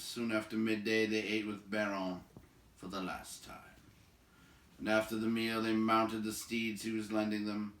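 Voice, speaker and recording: A man's voice: fairly low pitch, fairly bright tone. A man reading a storybook aloud as host, with casual commentary along the way. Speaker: read-aloud host and reader. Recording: roomy, echoing home recording.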